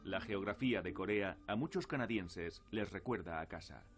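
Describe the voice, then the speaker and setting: A man's voice: low-pitched, expressive, normal speed, faint music behind. A man reading scripted narration for a documentary. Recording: clearly noisy documentary soundtrack. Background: clear background noise.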